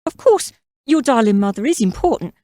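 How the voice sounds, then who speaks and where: A woman's voice: on the high side, animated, fairly quick, warm tone, no echo, natural voice. A woman playing a flirtatious, scheming mother-in-law in her thirties in an audio drama, coy and self-assured. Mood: persuasive, assured. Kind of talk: audio drama character acting.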